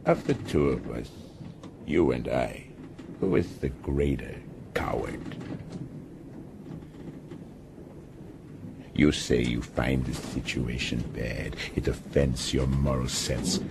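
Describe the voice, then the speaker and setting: A bossy sardonic sea captain, radio drama, deep voice, bit of background noise. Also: male voice, expressive, unhurried, creaky, exaggerated voice. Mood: needling. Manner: taunting, sardonic, commanding. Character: domineering, philosophical, mocking male ship's captain. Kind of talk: broadcast radio drama acting.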